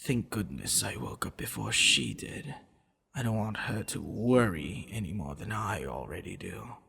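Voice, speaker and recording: A man playing a doting, possessive vampire lover in an ASMR roleplay, talking tenderly and teasingly in a husky, gravelly voice. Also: medium pitch, fairly bright tone, heavily acted. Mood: tender concern. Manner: tender, soothing, teasing, intimate. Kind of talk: ASMR boyfriend roleplay acting.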